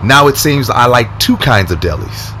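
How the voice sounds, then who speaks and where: Lively, acted by a man, charming smitten senator. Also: medium pitch, normal speed, slight background noise, lightly acted. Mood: flirtatious. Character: smitten, charming male senator, confident and flirtatious. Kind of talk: audio drama acting.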